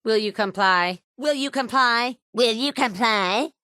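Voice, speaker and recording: A woman's voice: fairly high pitch, unhurried, bright, forceful tone, clear, resonant tone, no room sound, clean studio sound. A woman voicing a stern robotic security voice in a game. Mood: commanding.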